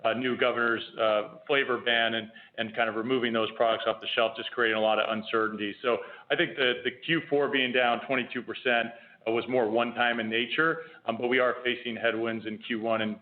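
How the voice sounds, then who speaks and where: Phone-quality guy, company exec on an earnings call, measured and explanatory. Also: medium pitch, steady tone. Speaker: company executive answering analysts. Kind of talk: earnings conference call answers. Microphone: telephone. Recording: tinny phone conference line.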